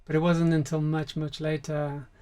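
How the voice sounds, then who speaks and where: A man from Spain talks as a musician guest in an unhurried interview. His delivery stays level and flat, with little rise or fall, and he pauses often to hunt for words. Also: a little high, smooth. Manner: soft-spoken, many fillers, flat and even delivery. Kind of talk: relaxed interview conversation.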